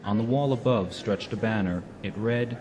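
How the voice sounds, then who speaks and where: A man's voice: on the low side, normal speed, crowd noise, lightly acted. An actor playing an old robot narrating his memories in a radio drama, grave, literary and scornful. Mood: brooding, bitter. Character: aged robot narrator, bitterly recalling his past. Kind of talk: dramatic first-person narration.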